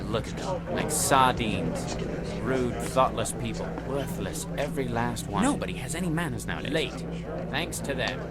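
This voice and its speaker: An actor playing a fussy, lonely, self-satisfied office worker who dislikes people, in theatrical audio drama style. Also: male voice, medium pitch, expressive, normal speed, loud music behind the voice, lightly acted. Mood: disgusted. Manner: pompous, fussy, muttering to himself.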